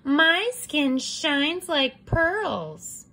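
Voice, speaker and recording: A woman's voice: high voice, sing-song, drawn-out words, pushed and bright, pitched above the performer's own voice, exaggerated voice. A woman voicing a vain, sassy little girl who preens and admires herself in a storybook read-aloud. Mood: preening. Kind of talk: children's picture book read-aloud.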